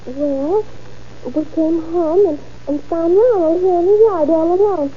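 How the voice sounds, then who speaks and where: A woman's voice: very high voice, unhurried, soft background music. An actress playing a hesitant, worried eleven-year-old girl in 1940s radio drama style. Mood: anxious. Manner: hesitant, timid replies. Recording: dull old radio recording.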